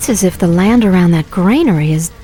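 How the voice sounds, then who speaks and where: An actress playing a young sorceress in a video game, polite, clever and serious, speaking articulately and earnestly. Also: female voice, lowish voice, animated, quick pace, dark tone, slight background noise, lightly acted. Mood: serious, concerned. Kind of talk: video game cutscene acting.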